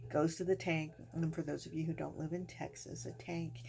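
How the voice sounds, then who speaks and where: A woman's voice: sits low, fairly even intonation, normal speed. A woman host telling ghost stories casually to viewers, in a chatty, folksy, colloquial way. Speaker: host of a storytelling channel. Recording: noisy home recording with clear hum.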